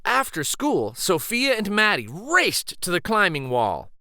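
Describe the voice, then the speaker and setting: A man reading a children's picture book aloud in an expressive, deliberate storytelling style. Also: high-pitched, no echo, studio quality. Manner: deliberate, animated.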